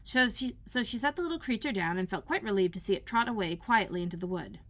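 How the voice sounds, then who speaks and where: A woman reading a children's storybook aloud in a careful, expressive narrating style, like a school librarian. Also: medium pitch, fast talker.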